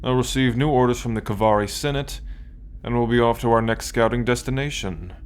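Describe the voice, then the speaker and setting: A man's voice: mid-range voice, clear, resonant tone, close to an everyday voice. A man playing an arrogant, possessive alien military conqueror in an ASMR roleplay, commanding and condescending as he addresses the listener. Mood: proud, explanatory. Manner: commanding, condescending, slow deliberate delivery.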